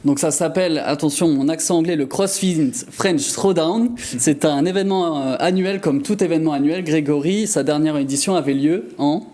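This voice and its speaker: A man's voice: on the high side, normal speed, few pauses, mellow. A man presenting a jokey, prepared chronicle as a columnist on a TV talk show. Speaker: TV magazine columnist. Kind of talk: lively prepared studio chronicle with banter.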